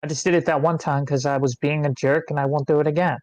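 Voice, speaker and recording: A man playing a sheepish, apologetic teenage boy in a script read, halting and awkward. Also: on the high side, fairly even intonation, darkish tone, dry recording, dull audio, lightly acted. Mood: contrite. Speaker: amateur actor reading a part. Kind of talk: screenplay table read, dramatic dialogue.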